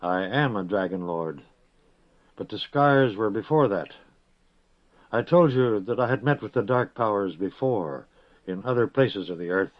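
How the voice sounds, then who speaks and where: A man doing a grave, calm wizard. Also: fairly low pitch. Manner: quiet, grave, gentle. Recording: dull lo-fi recording.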